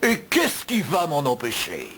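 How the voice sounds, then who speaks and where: Arrogant, wildly swooping cartoon usurper. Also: male voice, a little high, wildly swooping pitch, measured pace, slight background noise, heavily acted. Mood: menacing. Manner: pompous, insulting, theatrical. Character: arrogant, menacing male usurper posing as a prince. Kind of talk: dubbed animated fantasy film acting. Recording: loud music under the dialogue.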